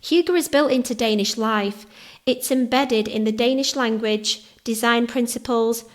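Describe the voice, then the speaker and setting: A woman hosting a podcast, reading a scripted narration in a soft, measured way. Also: a little high. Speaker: podcast host.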